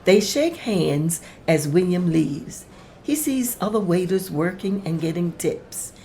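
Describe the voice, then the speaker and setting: Woman narrator, reading stage directions, slow, lowish voice, faint music. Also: animated, dark tone, slight background noise.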